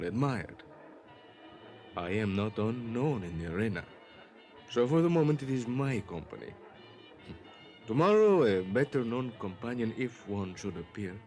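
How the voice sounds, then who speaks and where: Suave gigolo over music. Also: male voice, fairly low pitch, darkish tone. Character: suave mercenary Latin American gigolo, proud and courtly. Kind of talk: old-time radio drama acting.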